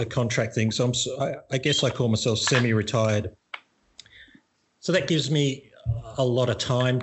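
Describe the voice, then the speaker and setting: A middle-aged Australian man chatting casually as a guest, relaxed and self-deprecating, often restarting his sentences. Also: mid-range voice, a little hiss or hum. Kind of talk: casual conversation.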